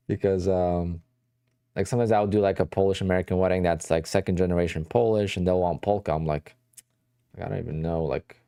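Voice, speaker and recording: A man's voice: deep voice, steady tone, moderate pace, vocal fry, pauses often, dark tone, clean tone, no echo, studio quality. A man from New Jersey hosting a day trading livestream, chatting casually and rambling as he answers questions from his chat.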